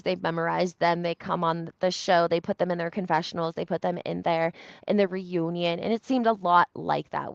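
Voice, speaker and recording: A woman's voice: mid-range voice, vocal fry, a bit bright, no room sound. A woman giving casual gossip commentary as a video host, speaking emphatically and often repeating herself for effect, on a slightly lo-fi recording. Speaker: YouTube host recapping reality TV. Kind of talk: casual gossip commentary, talking to live audience.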